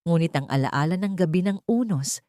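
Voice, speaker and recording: A woman narrates a dramatic love story in a low register. The sound is dry, with no room on it, and the delivery is that of a scripted audiobook storyteller. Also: lively intonation, fairly quick, warm tone, dry recording.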